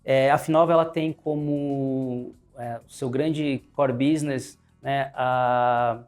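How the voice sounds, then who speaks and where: A man with a Brazilian Portuguese accent hosting an interview, often filling pauses with "né" and "é". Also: medium pitch, darkish tone. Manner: frequent "né" and "é" fillers. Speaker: host, pharmacy advertising manager.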